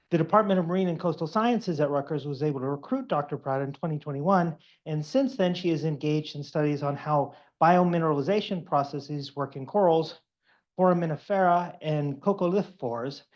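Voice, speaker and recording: A man hosting a seminar, reading a formal introduction of the guest speaker in a warm, formal manner. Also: a little high. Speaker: faculty host introducing the speaker. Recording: dull lo-fi lecture recording.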